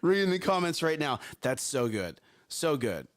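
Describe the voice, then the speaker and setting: A man's voice: on the high side, sing-song, normal speed. A man co-hosting a live morning talk show, speaking in a strong, carrying voice. He talks energetically, repeats words and keeps checking in with "right?" and "okay?".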